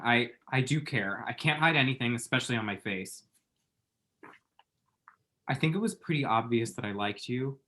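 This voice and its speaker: A man's voice: mid-range voice, a bit bright, close to an everyday voice. A male actor plays a self-dramatizing young man in a comic screenplay read. He is lovestruck and anxious, and talks in chatty, emphatic rambles. His voice sits in the middle of the range. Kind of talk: screenplay table read acting.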